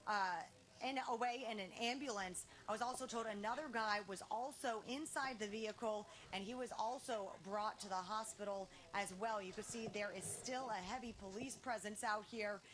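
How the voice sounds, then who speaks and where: Woman, local news field reporter. Mid-range, crisp forceful tone, live outdoor report. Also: medium pitch, normal speed. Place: outdoors at night at a crash scene.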